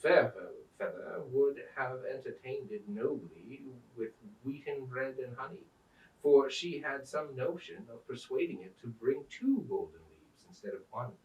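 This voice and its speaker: A man reads a storybook aloud with theatrical, deliberate phrasing. His medium-pitched voice rises and falls in a lively way. It is recorded at a distance from the microphone in an echoing room. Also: dull audio. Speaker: Santa storyteller reading aloud. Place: indoors at a museum. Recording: roomy echo, distant microphone.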